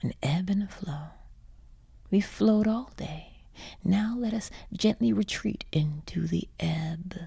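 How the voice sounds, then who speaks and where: Very breathy, slow, low-voiced woman guiding meditation. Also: no echo, studio quality. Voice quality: breathy, husky. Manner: soothing, hushed, breathy delivery with long pauses. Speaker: meditation guide. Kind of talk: guided sleep meditation.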